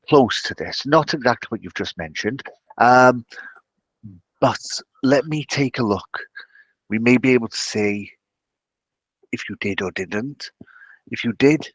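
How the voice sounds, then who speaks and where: A man acts out a mild cleric in a tabletop roleplay, with a lowish voice recorded close and dry. He sounds soothing and mock-pious, picking his way evasively through each phrase. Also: a bit bright, no echo, low-quality recording. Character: mild, evasive male cleric offering bureaucratic comfort.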